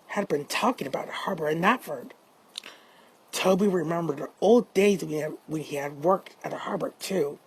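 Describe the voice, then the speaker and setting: Casting: female amateur storybook narrator, low-pitched and slightly husky, occasionally trips over lines. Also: rough recording. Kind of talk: read-aloud children's story narration.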